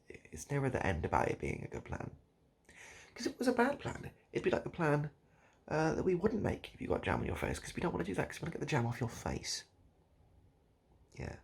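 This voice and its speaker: A man improvising a comic monologue straight to the listener, halting and repeating himself in a mock-earnest, absurd way. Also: mid-range voice, vocal fry, husky. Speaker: online video host.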